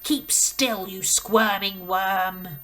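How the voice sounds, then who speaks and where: A woman's voice: mid-range voice, steady tone, fairly bright tone, breathy edge. A woman doing a thundering, bullying giant headmistress. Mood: bellowing. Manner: bellowing, slow and emphatic. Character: huge, brutal female headmistress, bullying and thunderous.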